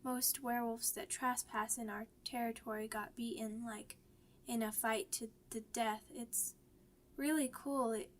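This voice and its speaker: Woman voicing a slow, halting young werewolf girl. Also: fairly high pitch, steady tone, slight background noise, lightly acted. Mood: subdued, wistful. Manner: halting, stammering, childlike phrasing. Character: young orphaned werewolf pup girl, shy, grieving, vulnerable. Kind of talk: ASMR audio roleplay.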